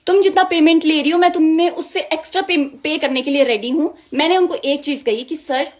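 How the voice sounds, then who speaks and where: Young woman, really high voice, talks fast, indignant and emphatic, telling her story to viewers. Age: young adult. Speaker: music video model. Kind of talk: personal account told to viewers.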